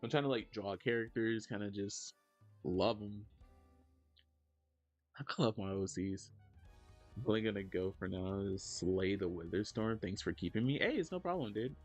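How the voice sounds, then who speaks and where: A man's voice: moderate pace, pauses often, faint music behind. Rambling male streamer chatting while drawing. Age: 30s. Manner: rambling, many "like" fillers, lively jumps in pitch when reacting to chat. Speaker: streamer and digital artist. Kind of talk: casual livestream chat while drawing.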